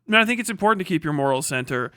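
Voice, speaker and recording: A man's voice: a little high, animated, rapid-fire, bright, forceful tone, smooth, no echo, clean studio sound. A man hosting a livestream in casual chat, rambling along and swearing freely. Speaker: livestream host.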